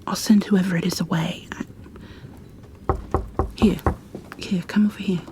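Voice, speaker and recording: A woman playing a gentle, protective creature bookkeeper in a soft-spoken ASMR roleplay, hushed, soothing and a little hesitant. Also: lowish voice, noisy background, lightly acted. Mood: urgent, hushed.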